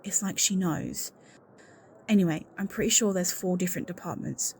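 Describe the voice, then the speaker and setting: A woman's voice: fairly low pitch, normal speed, a little mellow, slight background noise, natural voice. A woman playing an anxious, suspicious secretary in an audio roleplay, speaking in an earnest, confiding and insistent way. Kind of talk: scripted audio roleplay acting, one-sided conversation.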